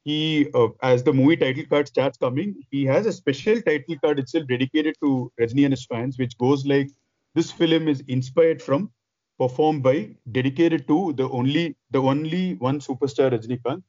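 A man chatting as a podcast host, loud and excited, rambling with restarts. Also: mid-range voice, normal speed, dry recording, dull audio. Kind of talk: casual chat.

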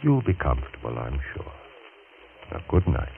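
An actor playing a measured, authoritative doctor with a bitter, pointed edge in a 1940s radio drama. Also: male voice, a bass voice, big pitch swings, gravelly, heavily acted. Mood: calm, authoritative. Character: smooth-talking, bitter doctor, a jilted former suitor. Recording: scratchy, narrow-band 1940s radio recording.

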